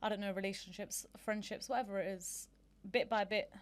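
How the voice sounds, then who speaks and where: Woman talking easy on a podcast, thoughtful, mid pitch. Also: steady tone, a little fast. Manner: thoughtful, agreeable, teasing. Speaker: podcast co-host. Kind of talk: casual chat.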